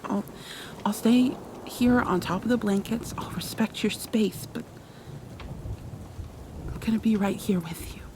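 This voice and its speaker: ASMR roleplay: actress as possessive yet tender female captor, haunted, coaxing, halting, breathy whispers, very unhurried, mid pitch, rain noise behind. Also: natural voice. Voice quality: soft, breathy, often dropping into whispers. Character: tender, obsessive female kidnapper soothing her captive, haunted, possessive. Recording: clear background noise, rain ambience.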